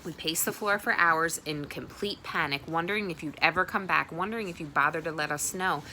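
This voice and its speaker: A woman's voice: low-pitched, fairly quick, sharp tone, a little hiss or hum, poor audio. A woman reading as a stern weary father. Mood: angry.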